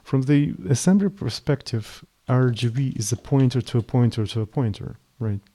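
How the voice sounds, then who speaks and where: A man's voice: medium pitch, mellow. Tired Slavic-accented man thinking aloud. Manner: halting, thinking aloud, trailing off mid-sentence. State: mentally tired. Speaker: live-streaming programmer. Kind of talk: casual live coding stream.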